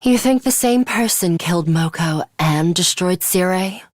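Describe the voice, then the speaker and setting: A woman playing a stern, ruthless young female fighter in a video game, speaking in a terse, measured and commanding manner. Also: fairly low pitch, animated, no echo, studio quality. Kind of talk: scripted video game voice acting.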